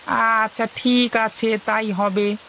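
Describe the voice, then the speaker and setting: A man voicing an excitable, henpecked village husband in a moral-story cartoon, with exclamatory, comic delivery. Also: high voice, slow, creaky, slight background noise, exaggerated voice, low-quality recording. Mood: hesitant.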